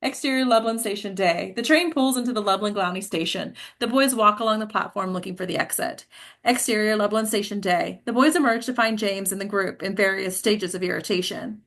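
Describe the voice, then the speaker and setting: A woman with a medium-pitched voice reads stage directions from a screenplay. Her delivery is scripted narration, setting scenes and describing action. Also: a little fast, fairly bright tone.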